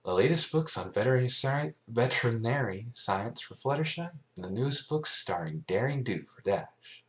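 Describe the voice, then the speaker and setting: A helpful young unicorn librarian from a cartoon fan story, voiced by a man. She sounds studious and obliging, in a voice raised well above the usual male pitch. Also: animated, normal speed, close to an everyday voice. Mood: helpful, cheerful.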